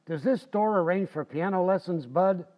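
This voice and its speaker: A man's voice: high voice, normal speed, darkish tone, natural voice. A man acting a boastful, folksy husband in an old-time radio comedy. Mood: cocky, cheerful. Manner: folksy, slangy, bragging. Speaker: amateur actor in a community playhouse radio recreation.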